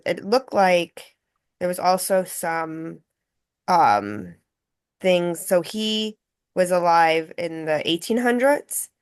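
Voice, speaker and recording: A woman with a slightly low voice talks in a casual group discussion. She thinks aloud, offering her points tentatively and rephrasing them as she goes. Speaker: participant in actors' discussion.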